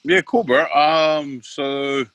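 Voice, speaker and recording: A young Australian man in his twenties chats easily as a podcast guest, full of fillers and quick laughs. His voice is bright and forward, recorded close and dry. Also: fairly high pitch, creaky, dry recording. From Queensland, Australia. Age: 20s. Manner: laid-back, many fillers, laughs easily. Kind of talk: casual interview talk.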